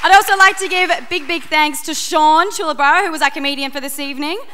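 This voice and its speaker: A woman's voice: high-pitched, fast talker, pushed and bright. A woman hosting a live radio event and announcing thanks to the cast. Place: theatre studio stage with live audience. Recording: noisy live venue with audience crowd.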